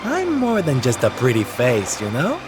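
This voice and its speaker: A man's voice: medium pitch, wildly swooping pitch, normal speed. A man voicing a flamboyant, theatrical male entertainer who calls people honey and darling, in a video game cutscene. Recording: game audio with loud music behind.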